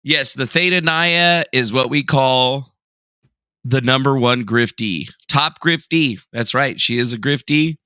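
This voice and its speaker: A man's voice: medium pitch, measured pace, pushed and bright, clear, resonant tone, no echo. A young man casually hosting a livestream, joking sarcastically and reading viewer messages aloud. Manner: jokey, sarcastic, self-mocking; reads chat aloud and reacts. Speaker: livestream host.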